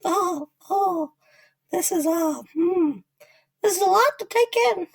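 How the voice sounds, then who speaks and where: A woman's voice: very high voice, slow, vocal fry, recorded from a distance, full character voice. A woman voicing a small animatronic beaver boy in an audio drama, naive and anxious, stammering and easily flustered. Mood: shocked, upset.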